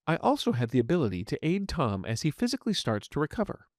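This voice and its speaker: A man's voice: fairly high pitch, huge pitch swings, fast, no echo, studio quality. A man voicing a polite, earnest artificial intelligence medical assistant in an audio story, speaking formally and courteously. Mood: clinical, explanatory. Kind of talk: scripted audiobook narration in character.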